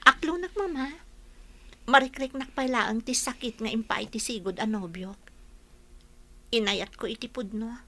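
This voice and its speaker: Young woman in a radio-style drama, high sharp bright voice, frank, expressive. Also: normal speed, pauses often. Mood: wistful. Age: young adult. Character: young single woman, hospital worker, still heartbroken, frank. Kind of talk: Ilocano audio drama acting.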